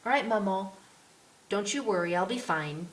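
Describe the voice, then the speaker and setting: A woman's voice: mid-range voice, lively intonation, normal speed, pitched above the performer's own voice, lightly acted. A woman voicing a spirited, chatty little French girl in an audiobook, with a pert, precocious manner. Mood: brave. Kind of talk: audiobook character voice. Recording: roomy echo with clear hiss.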